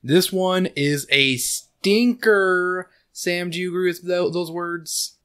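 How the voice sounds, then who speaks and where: A slightly hoarse man hosting a casual book podcast, rambling with lots of fillers and laughing often, recorded on a desk mic at home. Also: high-pitched, fairly even intonation, slow, a bit bright. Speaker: podcast host. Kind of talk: casual chat and book recap. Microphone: desk condenser mic.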